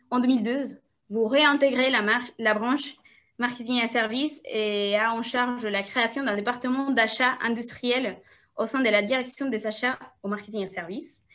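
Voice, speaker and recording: Higher-voiced woman interviewer, halting. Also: fairly bright tone. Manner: halting, frequent hesitation fillers. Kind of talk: reading a guest's biography at a live event.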